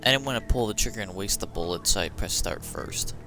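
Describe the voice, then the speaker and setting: A man's voice: lowish voice, animated, a little fast, over music. A man giving casual live gameplay commentary as a streamer, muttering to himself. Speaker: game streamer.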